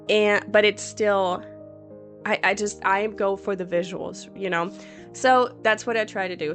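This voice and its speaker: Hoarse chatty mom over soft music. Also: female voice, mid-range voice. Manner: rambling, chatty, lots of fillers. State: hoarse, recovering from a cold. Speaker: Sims builder and YouTuber. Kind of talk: casual speed-build voiceover.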